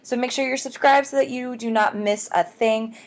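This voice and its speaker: A woman's voice: fairly high pitch, fairly even intonation, normal speed. A woman hosting a book podcast, talking to listeners in a bubbly, chatty way and calling them guys. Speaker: podcast host. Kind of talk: upbeat podcast intro and promo.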